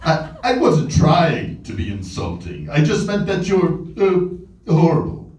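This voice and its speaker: A man playing an awkward, lonely guy who is desperate to please, halting and tactless, in a comedy table read. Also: fairly high pitch, big pitch swings, darkish tone. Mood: flustered, appalled. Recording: roomy, distant mic at live event.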